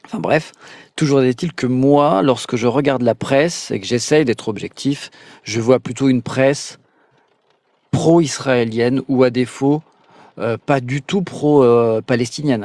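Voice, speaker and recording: A man's voice: medium pitch, darkish tone, no room sound. Male call-in host from France, hesitant and sarcastic, dry close sound. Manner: hesitant, many "euh" fillers, sarcastic asides. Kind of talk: casual live call-in chat.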